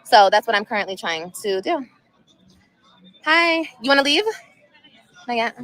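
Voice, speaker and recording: A woman's voice: fairly high pitch, lively intonation, a little slow, a bit bright, poor audio. A woman in her thirties chatting casually on a livestream outdoors at sunset, emotional and rambling, repeating herself often. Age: 30s. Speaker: vlogger.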